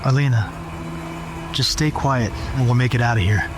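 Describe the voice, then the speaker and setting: An actor playing a protective, steady father on the run in an audio drama. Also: mid-range voice, normal speed, noisy background, lightly acted. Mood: hushed, urgent.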